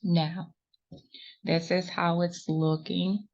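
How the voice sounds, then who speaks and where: Slow, low-voiced woman teaching crochet. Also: flat delivery, darkish tone, dull audio. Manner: patient, reassuring, counts stitches aloud. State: sniffly runny nose. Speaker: crochet tutorial host. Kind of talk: step-by-step crafting instruction.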